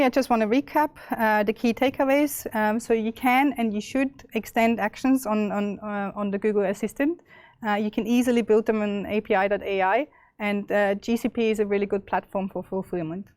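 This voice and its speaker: Young Austrian woman demoing software live, loose and chatty, dry mic. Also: medium pitch, dry recording. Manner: chatty, many fillers and restarts. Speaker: presenter. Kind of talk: live tech demo walkthrough.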